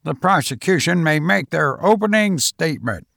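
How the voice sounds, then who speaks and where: A man's voice: fairly high pitch, animated, gravelly, a bit bright, no room sound, studio quality, voice pushed up, full character voice. A man voicing a stern, formal female judge in an audiobook courtroom scene. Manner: formal, deliberate.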